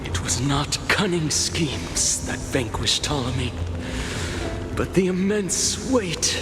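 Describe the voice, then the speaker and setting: Earnest dying scholar-soldier for a game. Higher voice, slow pleading, reverberant hall. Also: male voice, expressive. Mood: pleading, proud. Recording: hall reverb, very loud music behind.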